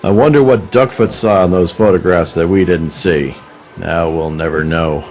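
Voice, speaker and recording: A man playing an authoritative police detective in a radio play, deliberate and no-nonsense. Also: low voice, a little mellow, muffled recording, faint music behind. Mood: probing.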